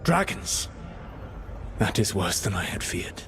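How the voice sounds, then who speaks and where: An actor playing a proud, weary ancient mage in a fantasy video game, speaking in measured, formal phrases. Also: male voice, deep voice, very animated, full character voice. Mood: troubled, pensive. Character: solemn, proud, weary ancient male mage, grave and self-justifying.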